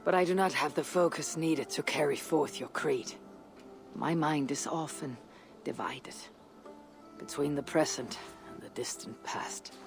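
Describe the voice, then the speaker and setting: A woman playing a grave, introspective Viking warrior in a video game, husky and breathy, speaking in solemn, deliberate phrases. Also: low voice. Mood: earnest, warm. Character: weathered female Viking warrior, grave, introspective, loyal leader. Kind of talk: dramatic video game acting. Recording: music behind the voice.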